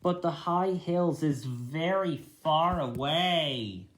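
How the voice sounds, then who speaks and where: A man's voice: a little high, expressive, slow pace, heavy background noise. A man reading a children's story aloud as narrator, recorded in an echoey room. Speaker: story reader.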